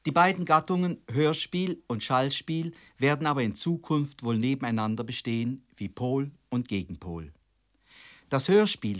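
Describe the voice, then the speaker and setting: A man reads a scripted radio lecture as a commentator, formal and measured, phrasing long sentences precisely. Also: mid-range voice.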